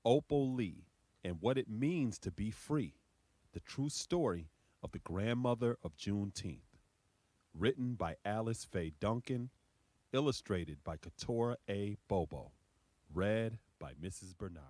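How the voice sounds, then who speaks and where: A man's voice: on the low side, animated, a little slow, warm tone, no room sound. A man introducing a children's story time in a welcoming, encouraging manner, addressing young listeners as host.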